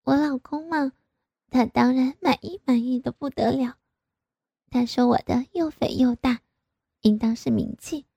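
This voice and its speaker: A coy, pouting young married woman wheedles in a high, lifted voice. A woman plays her in a slow storybook reading, with a dry, close sound. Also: vocal fry, mellow, slightly rough, no room sound, heavily acted. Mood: wheedling.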